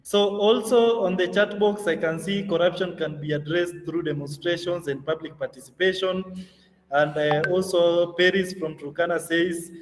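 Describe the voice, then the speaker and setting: A man's voice: high-pitched, steady tone, moderate pace, long reverb tail, distant mic, low-quality recording. A man moderating an online youth training session, talking conversationally with plenty of fillers. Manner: conversational, many fillers, laughs easily.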